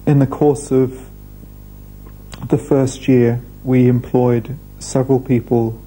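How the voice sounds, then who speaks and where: A man, speaking thoughtfully in a documentary interview with measured pauses. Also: medium pitch, level tone, mellow, slight background noise, low-quality recording. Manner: measured, thoughtful, hesitant fillers. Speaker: restaurant founder. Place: indoor room.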